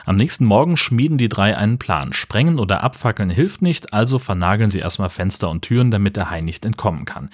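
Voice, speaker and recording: A man with a slightly low voice co-hosts a film podcast. He narrates the story plainly from notes. Between passages he chats in a relaxed, conversational way. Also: brisk, few pauses. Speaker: podcast host. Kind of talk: film recap narration and casual chat.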